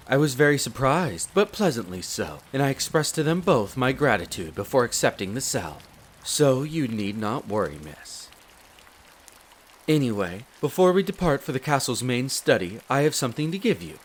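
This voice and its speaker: A man voicing a courteous, devoted butler in an audio roleplay, speaking in a formal, polite and measured way straight to the listener. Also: mid-range voice, close to an everyday voice. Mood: composed, sincere. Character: courteous, devoted demi-human butler in a vampire's castle. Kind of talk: scripted roleplay addressed to the listener. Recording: rain noise behind the voice. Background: steady rain of a storm.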